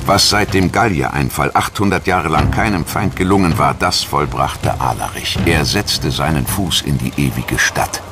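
A man's voice: rumbling low, normal speed, hardly any pauses, music in the background. A man narrating a documentary in a scripted style, as narrator and voice-over.

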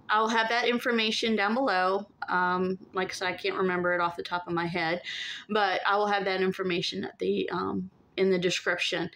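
Casting: middle-aged female vlogger, mid-pitched voice, informal and halting, talking into a handheld phone. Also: fairly bright tone. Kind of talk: casual update to camera. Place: inside an empty converted school bus. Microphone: phone at arm's length.

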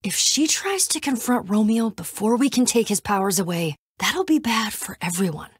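A woman's voice: mid-range voice, big pitch swings, moderate pace, husky, no room sound. An actress voicing a scrappy, wry young female adventurer in a video game, talking in a casual, sarcastic, plain-spoken way. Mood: worried.

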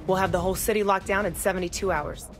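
An actress playing a tough, hawkish military officer in a game cutscene, speaking in a crisp military manner. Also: female voice, mid-range voice, steady tone, quick pace, over music, close to an everyday voice. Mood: determined. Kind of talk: video game cutscene acting.

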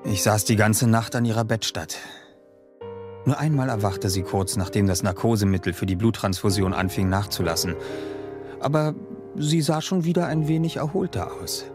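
Guy playing a sober doctor telling a horror story, lowish voice, serious, music behind him. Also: moderate pace, close to an everyday voice. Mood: somber. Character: sober young male doctor recounting gothic horror events. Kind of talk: scripted German audio drama narration.

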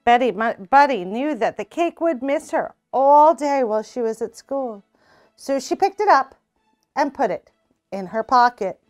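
A young woman reads a picture book aloud in a small room, taking her time over each line with expressive, unhurried delivery. Her voice sits slightly high for a woman. Faint music plays softly behind her. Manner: slow, expressive read-aloud with character voices. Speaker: storytime reader.

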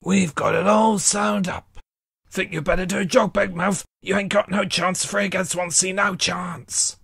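A man's voice: high voice, little pitch movement, measured pace, gravelly, fairly bright tone, husky, no room sound, clean studio sound, full character voice. A man voicing a gloating, scheming cartoon tugboat bully with slangy taunts.

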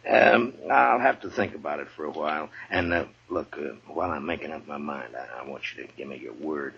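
A man's voice: a bass voice, a little fast, creaky, breathy edge, heavily acted. A man playing a tough, world-weary lawman, firm but fair and blunt, in a 1950s radio western. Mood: amused, gentle. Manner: authoritative, blunt. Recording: dull vintage radio recording.

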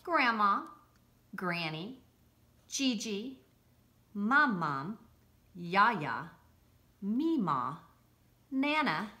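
Middle-aged lady doing a kids picture book read-aloud, bright voice, really animated and emphatic. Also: medium pitch. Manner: expressive, deliberate storytelling with emphasis. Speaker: school read-aloud presenter. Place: indoors, home-like room with armchairs.

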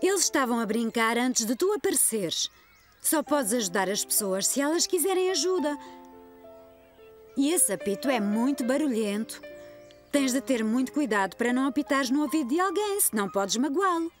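A woman's voice: high-pitched, big pitch swings, normal speed, faint music behind. A woman with a European Portuguese accent playing a kind, patient preschool teacher in a children's cartoon dub. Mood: patient explaining.

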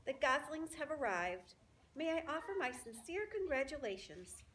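A woman's voice: high-pitched, sing-song, moderate pace, noisy background, pitched above the performer's own voice. A small, wise spider lady, played by a woman reader, speaks in a raised, polished voice. She is formal, eloquent and unfailingly polite, like a gracious hostess in a storybook.

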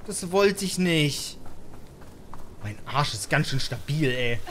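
Young man commentating a game, swooping pitch. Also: expressive, slow, fairly bright tone, a little hiss or hum. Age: young adult. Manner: reactive, repeats words in bursts, exclaims. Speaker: let's player. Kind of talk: excited gaming commentary. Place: indoor gaming setup. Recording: game music behind the voice.

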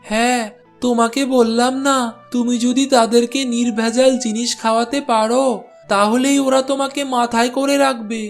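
A man's voice: very high-pitched, little pitch movement, normal speed, vocal fry. A man voicing a kindly village cart driver in a cartoon, speaking in a reassuring, neighbourly way. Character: helpful village bullock-cart porter, kindly male friend. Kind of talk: Bengali cartoon dialogue. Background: soft music.

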